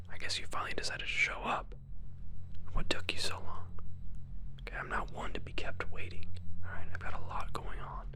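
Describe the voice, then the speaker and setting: A man playing a shrewd, secretive relic collector in a whispered sci-fi ASMR roleplay, with a breathy, conspiratorial delivery. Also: rumbling low, full character voice. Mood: impatient. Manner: hushed, conspiratorial.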